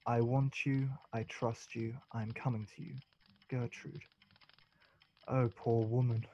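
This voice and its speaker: A man's voice: medium pitch, flat delivery, a little slow, darkish tone, highs cut off, slight background noise, natural voice. An actor playing a vain, idle English lord in his thirties, languid and witty, in a period comedy radio play. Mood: musing.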